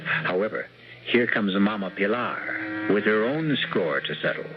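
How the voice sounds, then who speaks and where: A man hosting and narrating a radio drama from a script, with an arch, measured, theatrical manner. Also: on the low side, animated, background noise. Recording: dull lo-fi old radio recording.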